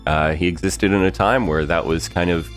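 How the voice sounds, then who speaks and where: A man's voice: deep voice, moderate pace, soft background music. A man co-hosting a podcast, musing thoughtfully about a story, with lots of fillers and easy laughs. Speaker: podcast co-host. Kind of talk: casual behind-the-scenes discussion.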